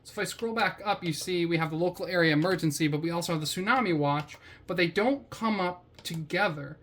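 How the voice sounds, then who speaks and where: Casual, low-pitched woman reviewing gadgets. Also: a little fast. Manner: wry, slangy, easily amused. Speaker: product reviewer, vlog host. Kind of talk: casual product review chat.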